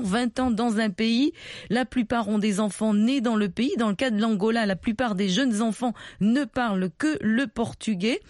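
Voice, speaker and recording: A woman's voice: mid-range voice, a little mellow, no echo, studio quality. A woman hosting a live radio call-in show.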